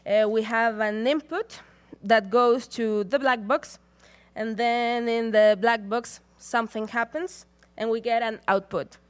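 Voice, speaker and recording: Young woman giving a measured talk. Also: fairly high pitch, fairly even intonation. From Chile. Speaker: conference speaker. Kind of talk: prepared conference talk.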